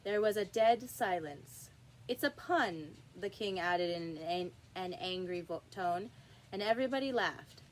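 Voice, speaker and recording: A woman reading a children's story aloud in an expressive narrating style. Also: lowish voice, unhurried, a little hiss or hum, rough recording. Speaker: storybook reader.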